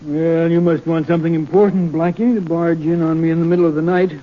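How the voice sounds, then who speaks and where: With the muffled sound of 1940s radio, a man plays an uneasy, affronted political candidate. His male voice sits rather high and comes across defensive and earnest. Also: level tone, quick pace, natural voice. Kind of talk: 1940s radio drama acting. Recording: muffled, faintly noisy 1940s radio recording.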